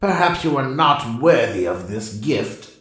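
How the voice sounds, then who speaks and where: An actor voicing a stern, solemn mystical spirit in an audio drama, speaking deliberately and lecturing. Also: medium pitch, big pitch swings, a bit bright. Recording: heavily echoing voice.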